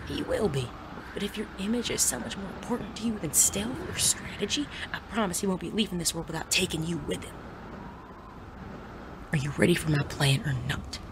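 A woman playing an articulate, sardonic gentleman bandit in a western audio drama. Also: on the low side, expressive, fairly quick, noisy background, natural voice. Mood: cool, persuasive. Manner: articulate, persuasive. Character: suave, sardonic gentleman bandit of the Wild West.

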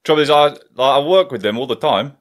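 A man's voice: medium pitch, fast. A man, hosting a casual chat in a wood-panelled study and swearing freely as he rambles through stories. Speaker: podcast host.

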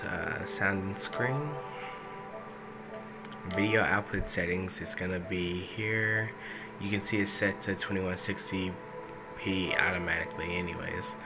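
A man giving a casual, unscripted step-by-step tech tutorial, speaking informally with hesitant pauses, recorded indoors on a handheld camera. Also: low voice. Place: indoors, small dim room at a desk setup. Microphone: handheld camera or phone mic.

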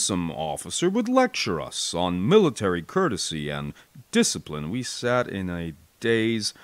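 Animated American male audiobook narrator. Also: on the low side, no echo. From United States. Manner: folksy, dramatic, wry storytelling. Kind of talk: audiobook narration of a war memoir.

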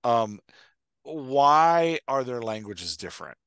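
A man's voice: mid-range voice, very animated, takes it slowly, a bit bright, smooth, no room sound, clean studio sound. A man in his forties chatting casually as he explains things, thinking aloud and restarting his sentences on a headset mic. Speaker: podcast host and writing coach. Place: indoor room on a video call.

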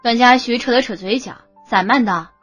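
Female audiobook narrator, highish husky voice, romance story, soft music behind. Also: normal speed. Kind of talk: scripted romance novel narration.

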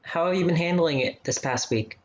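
An actor playing a gentle, supportive young man in a radio play, conversational and reassuring. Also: a little high, brisk, muffled recording, natural voice. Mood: concerned.

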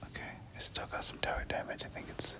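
A person doing whispered ASMR game commentary, speaking casually in gamer slang and often repeating short phrases. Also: fast. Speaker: gameplay commentator.

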